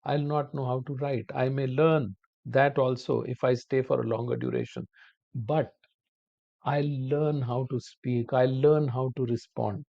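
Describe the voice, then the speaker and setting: A man teaching a spoken-English lesson to viewers, talking slowly and deliberately and calling them his dear friends. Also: mid-range voice, gravelly, warm tone. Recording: dull lo-fi audio.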